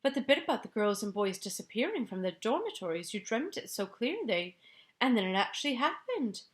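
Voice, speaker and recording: A timid middle-aged maid, played by a woman reading a storybook aloud. She is deferential and hesitant, and her pitch moves up and down freely. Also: very animated, fairly quick. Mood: shaken. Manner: respectful, hesitant, repeats "ma'am".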